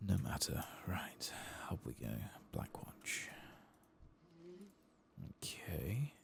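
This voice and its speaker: A man giving soft-spoken ASMR commentary over a video game, often slipping into whispers. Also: low-pitched, very animated, extremely slow, gravelly. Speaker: host of an ASMR game playthrough.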